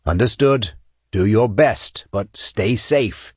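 A man's voice: lowish voice, slow, breathy edge, dry recording, studio quality. A man voicing a commanding marine gunnery sergeant in an audiobook, with clipped, order-giving delivery.

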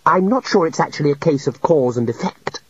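A man voicing an earnest, nervous young male wizard who explains things haltingly, in an audiobook reading. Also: on the high side, expressive, slightly rough, dull audio, pitched above the performer's own voice. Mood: hesitant. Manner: hesitant, explanatory.

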